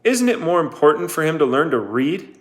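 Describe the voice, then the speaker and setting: A man's voice: fairly high pitch, very animated, fairly quick, fairly bright tone, pitched above the performer's own voice. Guy doing an earnest teen boy, slightly raised voice, making his case. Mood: pleading. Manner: earnest and reasoning.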